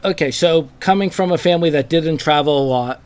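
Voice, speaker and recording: A man hosting a travel podcast, reading a scripted introduction and then asking his guest curious, measured questions. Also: on the high side, clean tone. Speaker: podcast host.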